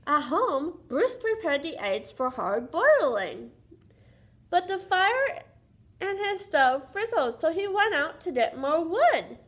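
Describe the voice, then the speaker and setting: A woman's voice: very high-pitched, very animated, takes it slowly, a bit bright. A woman reading a picture book aloud to young children as a teacher would, sing-song and often prompting them with questions.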